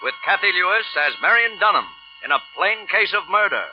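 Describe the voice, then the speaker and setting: A man's voice: high-pitched, expressive, fairly quick. A man announcing a 1940s radio thriller in a dramatic scripted style. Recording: muffled old radio broadcast over music.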